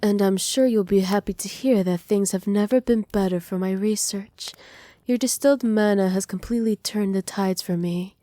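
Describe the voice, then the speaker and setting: Woman acting as a possessive evil witch, doting and teasing, mellow soft voice, whispery ASMR roleplay, close and dry. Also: medium pitch, normal speed, no echo, close to an everyday voice. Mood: smug, satisfied. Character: doting, possessive evil witch pampering her captive pet. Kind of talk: ASMR roleplay, intimate monologue to listener. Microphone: close microphone, dry.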